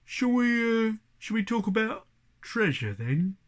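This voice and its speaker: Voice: medium pitch, sing-song, a little slow, voice pushed up. A storyteller voicing a friendly, gossipy farm cow with homely rural speech in a folk tale. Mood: chatty.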